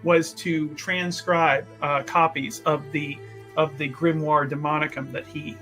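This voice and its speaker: A man with a bright, slightly high voice improvises narration as a player in a tabletop game. He thinks aloud in halting phrases, with music underneath. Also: level tone. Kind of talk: improvised narration of his character's actions.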